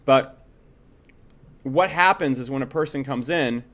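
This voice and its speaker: A man's voice: medium pitch, unhurried, fairly bright tone. A man teaching a casual screen-share tutorial, speaking bluntly and conversationally, repeating himself and checking in with short tags. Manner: blunt, opinionated, swears freely. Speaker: software instructor.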